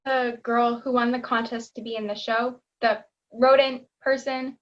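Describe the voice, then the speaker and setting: A woman's voice: on the high side, little pitch movement, unhurried, lightly acted. A teenage girl playing a practical, patient stage manager in a comedy, matter-of-fact, on lo-fi call audio. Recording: dull lo-fi video-call audio.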